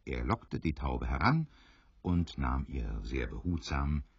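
A man narrating an adventure radio play as a grave, expressive storyteller who builds suspense. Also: very deep voice, slow pace, gravelly, breathy edge, no echo, full character voice. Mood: suspenseful. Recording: slightly dull, dry studio recording.

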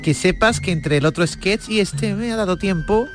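Male actor, petulant mischievous Roman emperor, high animated voice, radio comedy, music underneath. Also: moderate pace, natural voice. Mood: haughty menace. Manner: pompous, mischievous. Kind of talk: comic radio theatre. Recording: music bed behind voice.

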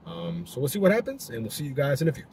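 A man's voice: medium pitch, wildly swooping pitch, fast, a little mellow. A young man vlogging while he drives, chatting loosely to the camera with lots of fillers and restarts. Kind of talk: casual vlog talk to camera. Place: inside a car, driving. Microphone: dash-mounted camera. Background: faint car noise.

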